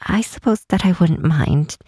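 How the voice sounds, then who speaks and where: A woman playing a timid, self-doubting young fantasy healer in an ASMR roleplay, speaking softly and haltingly in a breathy half-whisper. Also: fairly low pitch, dry recording, clean studio sound. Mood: shy, unsure. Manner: halting, sighing, unsure delivery. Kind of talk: ASMR roleplay, intimate and hesitant. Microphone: close, dry microphone.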